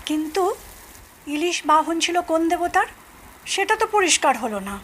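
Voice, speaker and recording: Young Bengali quiz announcer, high crisp voice, brisk manner, audio drama, faint noise behind. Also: female voice, big pitch swings, a bit bright, full character voice. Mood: businesslike, playful. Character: brisk young Bengali daughter-in-law running family quiz.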